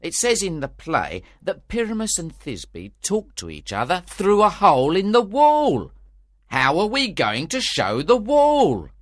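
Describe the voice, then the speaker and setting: A man's voice: sits high, huge pitch swings, a little slow, no room sound, clean studio sound, voice pushed up, heavily acted. A man voicing an earnest, fretful amateur actor and organiser in a storybook. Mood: earnest, organising. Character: Quince and fellows, anxious earnest male amateur players.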